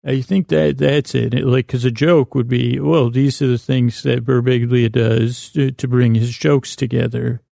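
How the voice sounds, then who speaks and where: Man, heavy gravelly creak, mumbly slurred words, halting rambling comic monologue, close dry recording. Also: mid-range voice, fairly even intonation, dark tone, no room sound. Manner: meandering, halting, keeps losing his thread. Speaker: host of a bedtime podcast.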